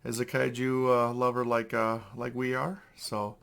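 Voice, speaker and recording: A young man, hoarse from losing his voice, hosting a casual unboxing review with many fillers and throat clearing. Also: mid-range voice, level tone, a little slow, fairly bright tone. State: hoarse, lost voice. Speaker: toy collector channel host.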